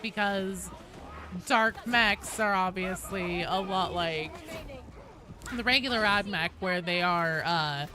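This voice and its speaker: A woman's voice: medium pitch, background noise. A woman live streaming a video game, chatting casually and answering viewers in a joking, sweary way. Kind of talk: casual gaming chat, reading and answering viewer comments.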